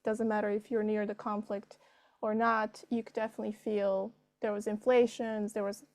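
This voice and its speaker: A woman's voice: medium pitch, level tone, moderate pace, a little mellow. A woman, answering interview questions conversationally and thinking aloud as she goes. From Serbia. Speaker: filmmaker being interviewed.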